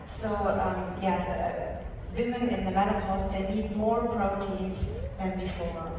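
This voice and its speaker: A woman's voice: medium pitch, steady tone, slow pace, noisy background. Woman, hesitant, lots of fillers, far off mic, very echoey hall. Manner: hesitant, many fillers and restarts. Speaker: panel speaker at a product training. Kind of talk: live panel answer with fillers. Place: large conference hall.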